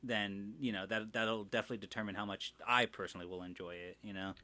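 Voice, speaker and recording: Forceful, lowish-voiced man chatting bluntly. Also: fairly even intonation, quick pace. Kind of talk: casual opinionated chat.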